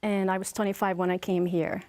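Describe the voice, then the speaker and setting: A woman's voice: mid-range voice, little pitch movement, fairly quick, darkish tone. Hesitant Hungarian-accented woman. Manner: hesitant, many fillers and restarts. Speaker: guest. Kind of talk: casual interview chat.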